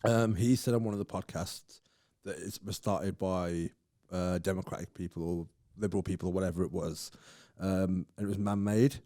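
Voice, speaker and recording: A man's voice: deep voice, steady tone, moderate pace, warm tone, dry recording, clean studio sound. A man co-hosting a podcast, chatting casually, rambling through asides and laughing often. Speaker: podcast co-host. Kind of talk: casual chat.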